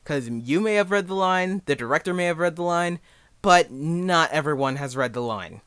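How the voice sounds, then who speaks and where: Young adult male amateur tutor, slightly high voice, rambling and self-effacing, recorded dry without room sound. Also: expressive, a bit bright, clean tone, no room sound. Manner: rambling, halting, self-deprecating. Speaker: amateur voice actor giving advice. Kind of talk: casual unscripted tutorial.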